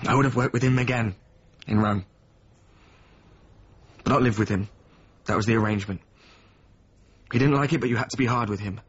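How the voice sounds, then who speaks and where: An actor playing a weary, resentful former apprentice of a painter in a naturalistic radio drama, with a husky voice. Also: male voice, medium pitch, brisk, long pauses, no room sound, close to an everyday voice. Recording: slightly muffled radio drama recording.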